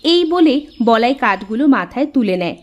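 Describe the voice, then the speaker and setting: A woman's voice: on the high side, very animated, normal speed. A woman narrator reading a scripted cartoon story.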